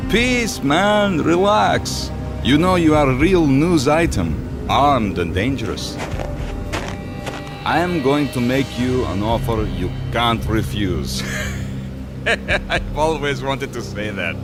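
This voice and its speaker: An actor playing a smooth-talking, jovial gangster arms dealer in a video game, with a playful theatrical manner. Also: male voice, mid-range voice, animated, slow pace, over loud music. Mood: playful, teasing.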